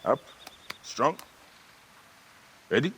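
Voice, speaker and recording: A man's voice: extremely slow, background noise. An actor playing a protective warrior father, a lawman of ancient Egypt, in a video game cutscene. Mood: playful, encouraging.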